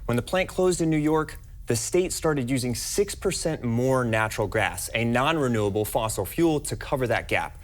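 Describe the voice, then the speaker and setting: A man's voice: medium pitch, animated, moderate pace, hardly any pauses. An American man giving a scripted, explanatory news report. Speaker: news fact-check reporter.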